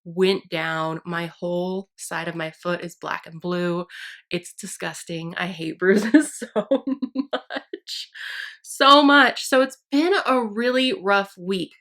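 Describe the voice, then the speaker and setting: A woman's voice: lowish voice, sharp tone. A young woman vlogger chatting casually while doing her makeup at home, rambling and self-deprecating as she gets over a sinus infection. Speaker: beauty vlogger. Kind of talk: casual get-ready-with-me chat. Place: downstairs at home by her vanity.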